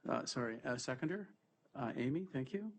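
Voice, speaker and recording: A man's voice: mid-range voice, lively intonation, a little fast, slightly rough. A man with a cold, sounding a little stuffy, chairing a formal committee meeting and moving through agenda items. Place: board meeting room.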